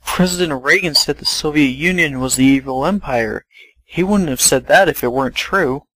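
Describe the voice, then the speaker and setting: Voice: medium pitch, moderate pace, a bit bright, no echo, close to an everyday voice, poor audio. A performer playing a prim, timid, doubtful teenage schoolgirl in an amateur comedic reading. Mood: doubtful, worried.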